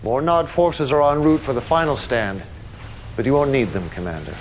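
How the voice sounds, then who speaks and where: An actor playing a commanding, calmly menacing cult leader in a video game cutscene, speaking with solemn, grandiose deliberation. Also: male voice, medium pitch, normal speed, slight background noise, lightly acted. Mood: coldly menacing.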